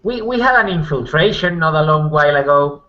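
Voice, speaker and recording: Bright, animated man roleplaying, lo-fi audio. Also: on the high side, brisk. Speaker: tabletop roleplaying player. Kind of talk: improvised in-character roleplay chat. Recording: dull lo-fi online call audio.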